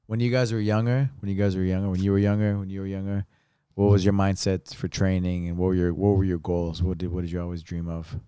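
Male host, low and smooth, rambling casual delivery, dry mic. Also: level tone, fast talker, hardly any pauses, mellow, dry recording. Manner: rambling, repeats words while searching for phrasing. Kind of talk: casual podcast chat.

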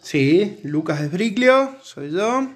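A man with an Argentine accent from Mendoza, co-hosting a casual podcast in a halting, hesitant way. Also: high voice, sing-song. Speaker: podcast co-host.

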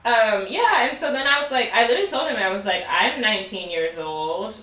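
A woman's voice: fairly high pitch, lively intonation, fairly quick, bright, forceful tone, recorded from a distance. A young woman from Baltimore telling a candid story in casual chat, laughing often and filling her talk with "like". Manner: candid, laughing, many "like" fillers. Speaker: podcast co-host. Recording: echoey room, off-mic.

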